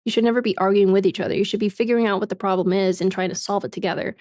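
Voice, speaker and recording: A woman's voice: mid-range voice, fairly even intonation, very fast, darkish tone, no room sound, clean studio sound. A woman in her twenties, a livestreamer chatting casually with viewers, frank, swearing often and laughing a lot. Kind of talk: casual chat, reading and answering viewer comments.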